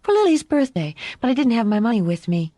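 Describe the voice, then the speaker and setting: Woman voicing a high-pitched, eager little girl. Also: big pitch swings, fast talker, dark tone, no echo, clean studio sound. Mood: earnest. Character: young girl, eager, trusting, easily upset, loving daughter. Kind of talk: audiobook character voice.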